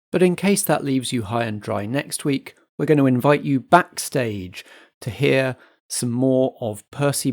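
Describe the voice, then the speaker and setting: A man's voice: mid-range voice, creaky. A man hosting a podcast as compere, reading scripted announcements in a theatrical style. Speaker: host, announcer.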